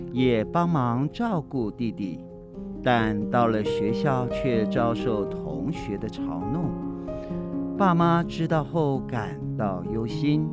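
A middle-aged man reading a scripted closing message to young listeners as host. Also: mid-range voice. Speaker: host giving closing commentary. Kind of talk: scripted address to children.

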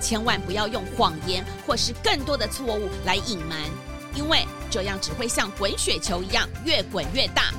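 A woman's voice: mid-range voice, expressive, moderate pace. A woman hosting and narrating a children's podcast in scripted storytelling style, addressing young listeners. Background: music.

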